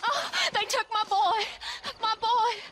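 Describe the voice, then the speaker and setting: A woman's voice: extremely high pitch, fairly even intonation, slow, very noisy. A woman playing a distraught frontier townswoman in a game, emotional and pleading. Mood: desperate pleading. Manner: plaintive, emotional. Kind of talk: dramatic game cutscene acting.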